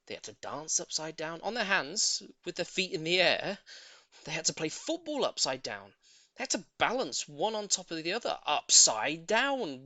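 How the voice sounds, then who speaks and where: A man's voice: a little high, bright, forceful tone, no echo. A man narrating a children's story as a scripted audiobook, in a theatrical, emphatic way.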